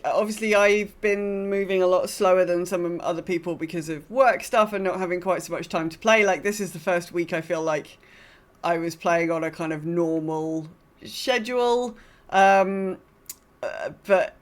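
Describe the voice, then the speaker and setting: A woman co-hosting a podcast, casually recapping her gaming in an understated, conversational way. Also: medium pitch. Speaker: podcast co-host. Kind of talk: casual chat about an online game.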